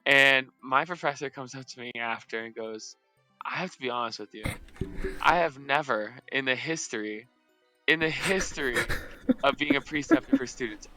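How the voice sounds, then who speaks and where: A young man at an ordinary middle pitch, telling a story in an easygoing, rambling way. He keeps restarting his sentences and fills them with "like" and "um." Faint music plays softly underneath. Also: very animated, normal speed, gravelly, poor audio. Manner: rambling, with many restarts and fillers, often laughing. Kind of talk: casual anecdote on a stream. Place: indoors at a streaming setup.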